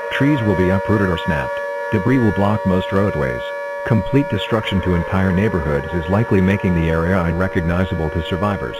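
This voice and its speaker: Low-pitched man reading emergency weather alerts. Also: low-quality recording.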